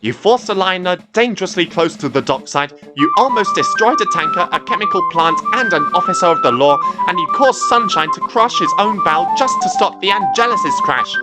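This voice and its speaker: A man's voice: high voice, lively intonation, a little fast, few pauses, loud music behind the voice, pitched above the performer's own voice. A man voicing a stern male coast guard boat who lectures like an officer of the law.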